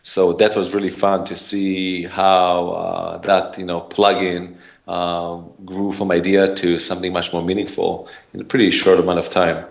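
Deep-voiced Israeli man, rambling. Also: little pitch movement, a little slow, vocal fry. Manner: rambling, many fillers and restarts. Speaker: podcast guest, startup founder. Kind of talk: casual interview talk.

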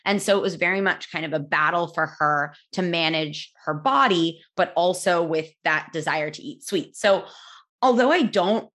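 A young adult woman with a loud voice, telling a personal story in a candid, reflective way with many fillers and restarts. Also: fairly low pitch, normal speed.

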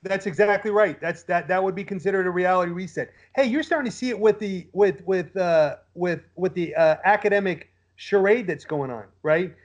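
High-pitched male voice, dull lo-fi sound, podcast guest, casual opinionated talk, rhetorical questioning. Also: normal speed, hardly any pauses. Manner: rhetorical questions, acts out imagined quotes. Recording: dull lo-fi audio.

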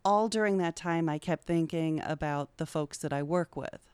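A woman's voice: deep voice, normal speed, darkish tone, smooth, no room sound. A middle-aged woman talking candidly and informally as a guest in a conversational podcast interview. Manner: candid, informal, swears casually. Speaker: podcast guest, social worker.

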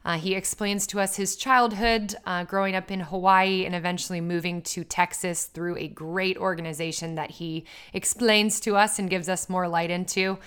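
A woman's voice: fairly low pitch, a bit bright, clean tone. A woman hosting a podcast, upbeat and chatty, with plenty of filler words as she introduces and questions a guest. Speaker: podcast host. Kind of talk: casual podcast interview.

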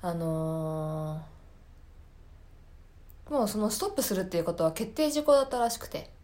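A woman's voice: on the low side, lively intonation, measured pace, mellow. A woman hosting a podcast, talking casually about her own life in a hesitant, meandering, self-deprecating way. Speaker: podcast host.

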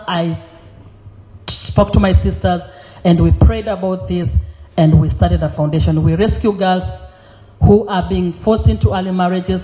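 A middle-aged woman from Kenya, giving an earnest testimony as a guest preacher in church, pausing often with fillers. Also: lowish voice, warm tone, rough recording. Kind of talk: testimony sermon.

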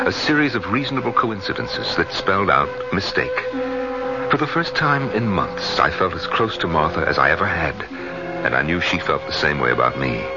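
A man's voice: sits low, dull audio. An actor playing a middle-aged man in love who narrates his own story in a radio drama, articulate and dramatic. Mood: reflective, content. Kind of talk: first-person radio drama narration and dialogue. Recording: band-limited 1970s radio broadcast with loud music.

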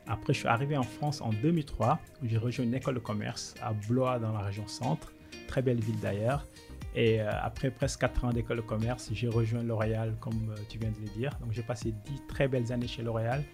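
Gravelly-voiced man from Senegal, flat delivery. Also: medium pitch, fairly quick, soft background music. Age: 30s. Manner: earnest, motivational storytelling. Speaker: podcast guest, business consultant. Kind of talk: conversational interview.

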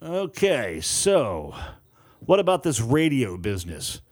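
A man voicing an earnest, inquisitive young man in audiobook dialogue, questioning and deliberate. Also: medium pitch, huge pitch swings, dry recording, clean studio sound, heavily acted. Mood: curious.

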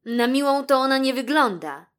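Woman plays stubborn little boy rabbit for storybook audio: raised pitch, firm, protective of friends. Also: big pitch swings, normal speed, a bit bright. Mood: firm, grumbling. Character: loyal, grumpy young boy rabbit. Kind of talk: children's storybook character voice.

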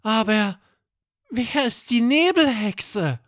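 Man as a high-voiced, curious young boy. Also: lively intonation, slow pace, fairly bright tone, no echo, studio quality, exaggerated voice. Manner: wondering, questioning. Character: young boy Erik, startled, curious, eager and friendly. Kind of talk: children's bedtime story character acting.

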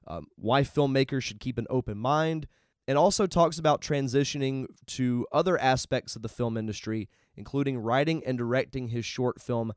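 A man's voice: mid-range voice, clear, resonant tone, dry recording. A man hosting a podcast in a conversational interviewing style, speaking close to the microphone. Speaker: podcast host.

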